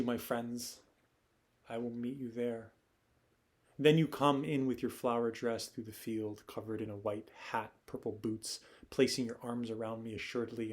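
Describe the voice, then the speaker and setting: Male actor as wandering poet, reflective and yearning, lower register, measured delivery of a lyrical stage monologue. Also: natural voice. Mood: tender, yearning. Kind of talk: poetic dramatic monologue.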